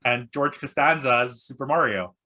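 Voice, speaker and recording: A man's voice: mid-range voice, normal speed, bright, forceful tone. A man talking casually and bluntly about films as an opinionated guest critic, swearing freely. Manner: blunt, profane, disparaging. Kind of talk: casual opinionated film discussion.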